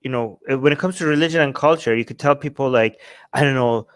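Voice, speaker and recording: A man's voice: mid-range voice, fast talker, smooth, no echo, studio quality. A man giving casual political commentary as a co-host, talking emphatically and often checking in with rhetorical questions. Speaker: talk show co-host.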